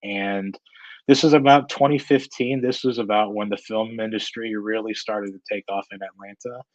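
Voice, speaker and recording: A man in his thirties with an American accent and a lowish voice talks casually as an interview guest. He is hesitant and candid, thinking as he goes. The sound is close and dry but a little dull and lo-fi. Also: fairly even intonation, normal speed, no room sound. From Georgia, United States. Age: 30s. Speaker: guest, freelance screenwriter and director.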